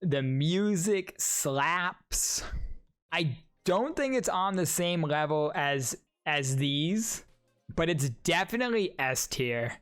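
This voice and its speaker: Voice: mid-range voice, lively intonation, slow pace, clear, resonant tone. Casual, enthusiastic reactor with music behind. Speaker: video host reacting to and ranking trailers. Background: music from the trailers.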